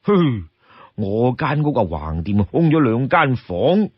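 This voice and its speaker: A man voicing an easygoing, money-minded old townsman in a Cantonese story, chatty and full of drawn-out interjections. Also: mid-range voice, sing-song, husky, no echo, lo-fi sound, voice pushed down, exaggerated voice. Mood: scheming, pleased.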